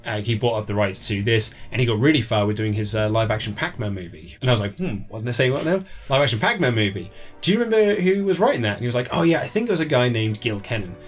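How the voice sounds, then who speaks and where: A man's voice: mid-range voice, lively intonation, fast talker, hardly any pauses, faint music behind. A man chatting casually as an interview guest, telling anecdotes and acting out remembered bits of dialogue. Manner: chatty, acts out remembered dialogue in his stories.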